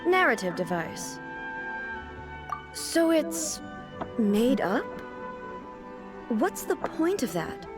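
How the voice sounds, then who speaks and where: A stately goddess-ruler speaks in a formal, measured way, and her slightly raised voice rises and falls a great deal. She takes everything literally and earnestly. Game music is clearly heard behind her. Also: sits high, sing-song, exaggerated voice. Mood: perplexed. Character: stately female god-ruler, earnest, formal and puzzled by fiction. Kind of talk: video game voice acting.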